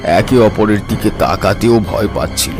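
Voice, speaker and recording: Deep-voiced, solemn tantric storyteller played by a man. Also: close to an everyday voice. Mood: solemn dread. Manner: solemn, suspenseful, deliberate. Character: grave male tantric sadhak recounting his battle with a demon. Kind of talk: dramatic scripted horror narration. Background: eerie background music.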